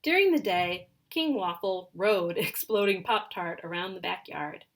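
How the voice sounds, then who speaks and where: A woman reading a children's story aloud in an animated, expressive and emphatic way. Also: lowish voice, a little slow. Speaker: children's story reader, speech and language pathologist.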